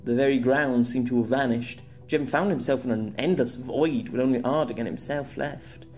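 Guy narrating an audiobook, steady and measured, soft music under it. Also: medium pitch. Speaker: author and narrator. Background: faint music.

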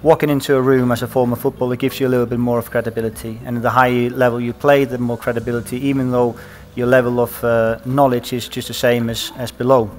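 A man in his thirties with an Icelandic accent, speaking on a conference stage panel through a headset mic, halting often with fillers and restarts. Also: medium pitch, flat delivery, darkish tone. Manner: hesitant, many fillers and restarts. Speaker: panelist, former footballer.

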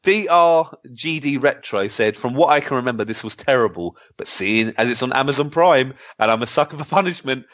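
A man's voice: medium pitch, animated, fairly quick, few pauses, fairly bright tone. A man chatting casually as a podcast co-host and reading out comments. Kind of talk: casual retro gaming podcast chat, reading out listener comments. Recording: dull, lo-fi voice recording.

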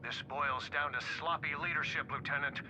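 An actor playing a stern, authoritative police captain reprimanding someone over the phone in a video game. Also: male voice, fairly high pitch, fairly even intonation, normal speed, music in the background, low-quality recording. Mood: scolding, angry. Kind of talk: dramatic game voice acting.

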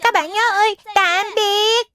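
A woman's voice: extremely high pitch, fairly even intonation, a little slow. A woman voicing a small, kindly childlike guide who speaks instructively in a dubbed children's cartoon. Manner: didactic, childlike. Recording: music behind the voice.

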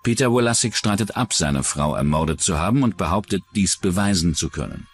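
Low-voiced, animated male documentary narrator. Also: fairly quick, soft background music. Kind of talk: scripted true-crime narration.

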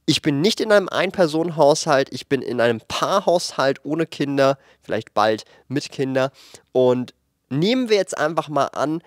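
A man in his late twenties talks frankly into a handheld microphone in a studio room, explaining numbers in a lively, thinking-aloud way with fillers. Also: fairly high pitch, moderate pace, dry recording. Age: 20s. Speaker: finance YouTuber. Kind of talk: casual explanatory commentary to camera. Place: indoor studio room with shelves of collectibles.

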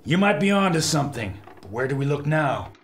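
A man's voice: on the high side, sing-song, a little fast, slight background noise. An actor playing a brash man of action in a pulp radio adventure, punchy, slangy and commanding, with a wisecracking streak. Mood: brisk, wry.

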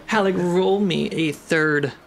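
A man narrating a tabletop fantasy game as game master in deliberate, halting phrases, with music behind him. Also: high voice, gravelly, over a noisy crowd.

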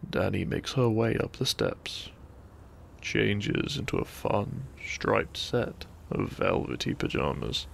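Gravelly, slow man narrating softly at bedtime. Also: fairly low pitch, sing-song, a little hiss or hum. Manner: soft, breathy, soothing, often near whisper. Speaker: sleep-aid audio narrator. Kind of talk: gentle bedtime story narration, ASMR.

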